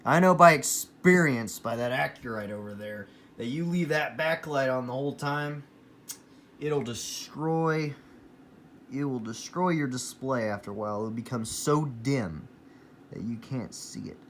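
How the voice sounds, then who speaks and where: Casting: man from the US, average pitch, enthusiastic amateur reviewer with stop-and-start casual delivery, noticeable background noise. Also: measured pace, fairly bright tone. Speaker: hobbyist collector presenting his weather radios. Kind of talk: casual product show-and-tell. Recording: noisy room, clear background noise.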